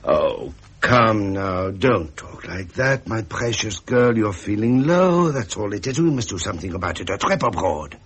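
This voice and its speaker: A British actor playing a tender, coaxing royal husband in a period radio drama, soothing and courtly, with an intimate delivery. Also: male voice, fairly low pitch, big pitch swings, a little slow, hardly any pauses, a bit bright, breathy edge, full character voice. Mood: soothing, affectionate. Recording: faint hiss, vintage radio recording.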